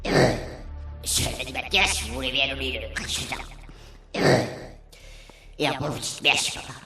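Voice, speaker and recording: A man playing a pompous alien founding father in a comic radio serial, speaking haltingly and ceremoniously in a very echoey hall. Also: medium pitch, steady tone, gravelly, sharp tone. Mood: solemn, muddled. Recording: very echoey hall, faint music.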